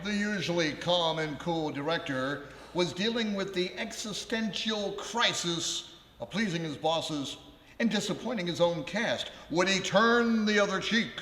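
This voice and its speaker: Man acting grandiose high-voiced narrator, echoey hall. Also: expressive, unhurried, bright, forceful tone, exaggerated voice. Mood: grandly dramatic. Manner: grandiose, theatrical. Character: theatrical, self-aware omniscient narrator of a comic play. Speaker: actor narrating a live radio play. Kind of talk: dramatic scripted narration. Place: large ballroom, live before an audience. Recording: echoey hall, live stage performance.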